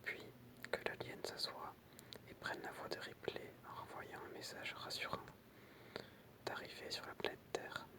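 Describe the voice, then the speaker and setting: A person whispering in an ASMR style, reading out short pieces in a soft, explanatory way with casual asides. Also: moderate pace. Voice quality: unvoiced, breathy whisper. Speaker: ASMR creator reading film trivia with commentary.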